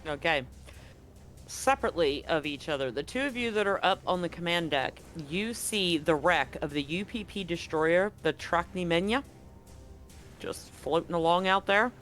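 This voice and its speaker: A man's voice: a little high, a bit bright, faint music behind. A man running a tabletop science fiction game as game master, narrating scenes and rules with frequent restarts. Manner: halting, restarts mid-sentence while describing scenes.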